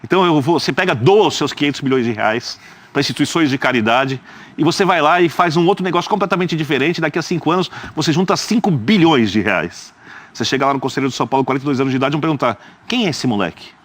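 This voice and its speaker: A man's voice: fairly high pitch, expressive, fast talker, fairly bright tone. A man, arguing his view as a guest in a studio football debate, emphatic and explanatory. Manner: emphatic and explanatory, with rhetorical asides.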